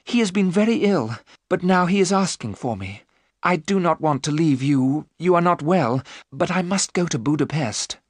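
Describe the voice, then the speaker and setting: A man's voice: fairly high pitch, lively intonation, moderate pace, dry recording, studio quality, pitched above the performer's own voice. A man voicing a devoted, anxious young wife in a gothic story, earnest and pleading. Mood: excited, urgent.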